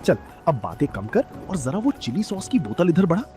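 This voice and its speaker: A man's voice: fairly high pitch, very animated, moderate pace. A man playing a hardworking, avuncular village father who sells street food in a cartoon story. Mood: hearty, proud. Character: hardworking village father selling spicy noodles, hearty, proud. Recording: music under the voice.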